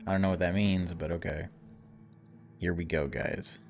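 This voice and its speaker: A man with a low voice plays through a game for viewers. He reads the text aloud and comments on it in an informal, chatty way, dropping wry remarks as he goes. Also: fairly even intonation, normal speed, vocal fry. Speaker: video game streamer. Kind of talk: casual let's-play commentary mixed with reading game text aloud.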